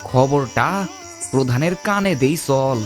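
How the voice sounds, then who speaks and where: A man's voice: mid-range voice, expressive, slow, faint music behind. A man voicing a cowardly, grumbling village henchman in a cartoon. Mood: conspiring. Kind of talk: cartoon village drama acting.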